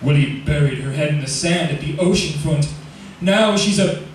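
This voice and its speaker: An actor playing a macabre, punning male host of an old radio horror show, with drawn-out theatrical delivery. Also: on the high side, a little hiss or hum, lightly acted. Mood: gleefully macabre. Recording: echoey, distant old radio recording, music behind.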